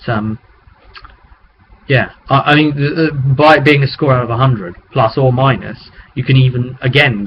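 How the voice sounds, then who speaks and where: A man talking casually and haltingly as a game master recapping a tabletop game, rambling with conspiratorial asides. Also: mid-range voice. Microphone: webcam microphone. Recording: muffled webcam mic with background noise.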